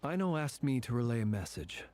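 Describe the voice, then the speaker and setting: A man voicing a calm, earnest fae warrior in a game, speaking in a measured, formal way. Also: mid-range voice, natural voice. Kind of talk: video game voice acting. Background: game music.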